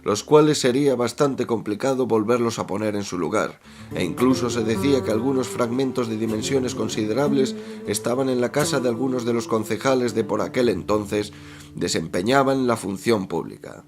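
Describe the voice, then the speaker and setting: A man's voice: mid-range voice, normal speed, darkish tone, close to an everyday voice. A man narrating a radio soap in a florid, mock-solemn storytelling manner. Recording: music behind the voice.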